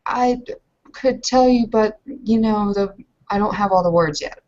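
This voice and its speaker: A woman playing a proud, guarded young fighter in a tabletop game, talking in a halting, earnest way. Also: mid-range voice, a little mellow, voice pushed up, lightly acted. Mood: proud, defensive. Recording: muffled lo-fi voice recording.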